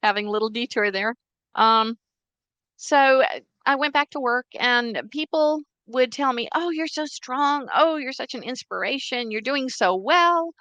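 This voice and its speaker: A middle-aged woman whose first language is Czech tells her story in an earnest, steady way. Her voice sits somewhat high. The recording is dry and close, with no room sound. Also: a little slow, no echo, muffled recording. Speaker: guest. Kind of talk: interview storytelling.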